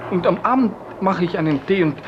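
Casting: shy, submissive bachelor clerk, high voice, halting and over-polite, muffled 1950s radio play sound. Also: male voice, expressive, noisy background. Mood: shy, flustered. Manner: hesitant, stammering, over-polite, apologetic. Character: timid, awkward, submissive bachelor office clerk.

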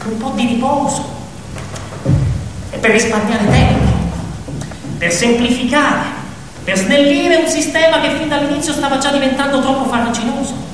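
A man's voice: extremely high pitch, moderate pace, pauses often, background noise. A man delivering theatrical scripted narration in an ironic, insinuating manner, as an actor telling a story. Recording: echoey reverberant hall, distant, music behind.

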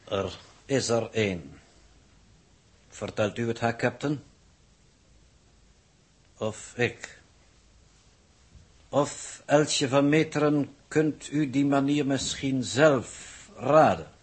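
A man acting a coldly precise, formal mission coordinator in 1960s radio drama, measured and pedantic in manner. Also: mid-range voice, slightly rough. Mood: coolly pointed.

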